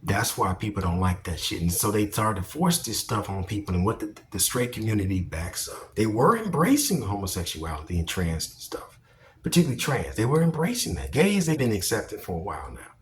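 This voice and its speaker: A man's voice: fairly low pitch, big pitch swings, brisk, a little hiss or hum. A man giving casual opinion commentary as a vlogger, speaking bluntly and emphatically with plenty of swearing.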